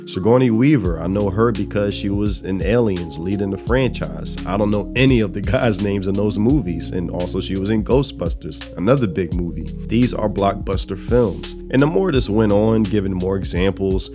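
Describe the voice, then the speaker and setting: A man with a cold, his voice raspy and dry, telling a story in a casual commentary to his channel's viewers. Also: deep voice. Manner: conversational, recounting a dialogue. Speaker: channel host. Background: music.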